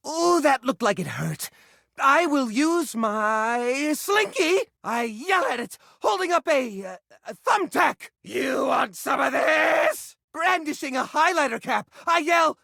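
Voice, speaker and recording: An actress playing a scatterbrained, nervous, eager-to-help keeper of odds and ends in a video game, fussy and rambling, with a creaky, husky voice. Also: female voice, sits high, big pitch swings, slow, a bit bright, dry recording, clean studio sound, heavily acted. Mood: excitable. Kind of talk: video game character acting.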